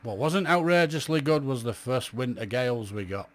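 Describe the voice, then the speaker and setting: A man's voice: medium pitch, expressive, normal speed. A British man in his fifties recalling his years in radio in a chatty, rambling way, full of fillers and self-mocking asides. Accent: British English. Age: 50s. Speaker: radio hobby vlogger. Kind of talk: reminiscing monologue.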